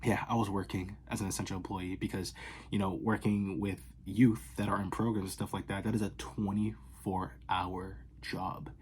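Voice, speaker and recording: A young man from the Boston area, vlogging in casual, sarcastic rambles that swing from loud outbursts to whispers, recorded on his phone in his bedroom. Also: low voice, little pitch movement, moderate pace, slight background noise. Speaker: fragrance vlogger. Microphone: phone camera.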